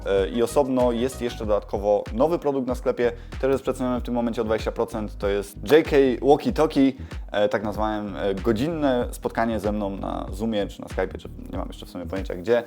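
A man's voice: lowish voice, darkish tone. A man giving a casual, informal update as a video host, explaining things and correcting himself as he goes. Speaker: YouTube creator and host. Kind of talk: casual vlog update to camera.

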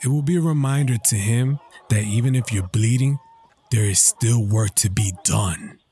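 A man's voice: medium pitch, normal speed, warm tone, a little hiss or hum, lightly acted. A man playing a stern, hard-working farmer father in an audio drama.

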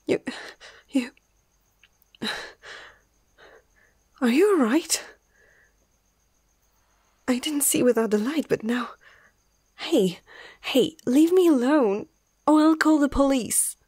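Woman playing nervous caring young vet lady, asmr roleplay, slow breathy half whisper, hesitant, close mic. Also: a little high, a little mellow, no echo. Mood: startled, frightened. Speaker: amateur ASMR roleplay performer.

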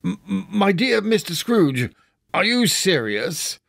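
A man doing a flustered portly old gentleman. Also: sits high, slow, no echo, clean studio sound, pitched above the performer's own voice. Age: older. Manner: stammering, flustered.

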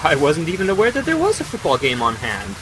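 An actor plays a courteous gentleman with a very animated pitch. He is humble and obliging, formal in his phrasing, as in a period radio play. Rain is clearly heard behind him. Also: fast talker, background noise. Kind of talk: audio drama acting, period dialogue. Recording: steady rain sound effects behind.

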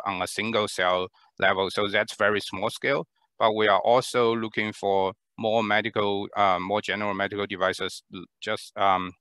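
A man, presenting his research lab in an online talk, halting with many fillers and restarts, on a headset mic in a small room. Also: on the low side, pushed and bright, no echo. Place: small room at home, indoors.

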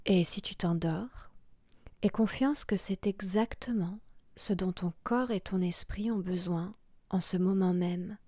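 Female meditation guide. Half-whispered, breathy and soothing, very unhurried, close and dry sound. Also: lowish voice, no echo. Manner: slow, soothing, hushed delivery with long pauses. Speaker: yoga nidra guide.

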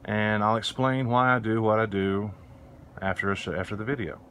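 Easygoing middle-aged guy showing off his porch shop, lowish voice, bit of outdoor noise. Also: normal speed. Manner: relaxed, rambling, with restarts and fillers. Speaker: woodworking channel host. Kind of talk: casual shop tour. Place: outdoor front porch workshop under a canopy.

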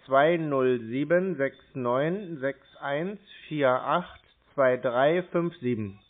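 Dawdling man playing dumb on the phone. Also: mid-range voice. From Germany. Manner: slow, dawdling, feigned confusion. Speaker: scam-baiting caller. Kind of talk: playing dumb on a prank call. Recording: tinny phone line.

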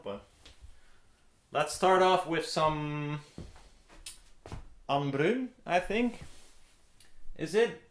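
A man's voice: a little high, fairly bright tone. A man in his thirties chatting casually and hesitantly, thinking aloud as he presents a demo. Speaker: DIY loudspeaker builder presenting a listening demo. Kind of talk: casual, unscripted chat. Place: living room with speakers, indoors. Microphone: camera a few metres away. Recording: roomy echo, slap delay.

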